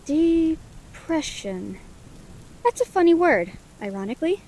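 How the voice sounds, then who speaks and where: A woman's voice: high-pitched, big pitch swings, slow pace, mellow, exaggerated voice, rough recording. A woman playing a friendly, curious, caring lightning elemental in a scripted audio roleplay, speaking earnestly in quaint, formal words. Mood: sympathetic. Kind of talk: scripted audio roleplay, gentle comforting monologue. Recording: rain behind the voice.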